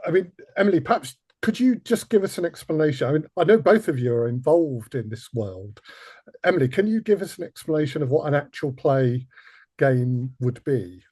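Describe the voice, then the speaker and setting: A man's voice: a little high, lively intonation, normal speed, mellow, slightly rough. A man hosting a casual interview, putting his questions in a hesitant, thoughtful way. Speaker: podcast host.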